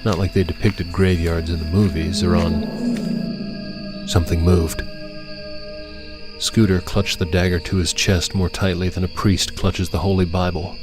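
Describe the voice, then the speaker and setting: Deep guy narrating a spooky story, pretty flat n measured, music behind. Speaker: audiobook narrator. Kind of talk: scripted dramatic fiction narration.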